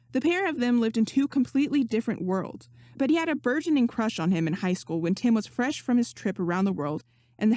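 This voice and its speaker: A woman reading fan fiction aloud as narrator, in an expressive audiobook style. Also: mid-range voice, no echo, studio quality. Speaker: podfic narrator.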